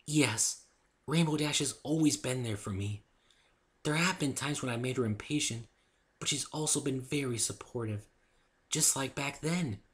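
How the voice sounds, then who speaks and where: A man voicing a meek, gentle young female pony, polite and apologetic, in a dramatic story reading. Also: mid-range voice, normal speed, voice pushed up, lightly acted. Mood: meek, reassuring. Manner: meek, soft-hearted, apologetic.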